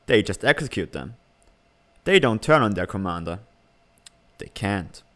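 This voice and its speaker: An actor playing a calm, analytical young man at a military academy, measured and matter-of-fact, in a comic fan dub. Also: fairly low pitch. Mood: calm, pensive.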